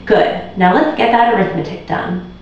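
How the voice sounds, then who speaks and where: A woman's voice: mid-range voice, animated, normal speed, darkish tone, slight background noise, lightly acted. A woman voicing an orderly, weary but kind schoolteacher in a children's storybook. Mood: stern. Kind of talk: children's storybook read aloud. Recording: very echoey room, distant muffled mic.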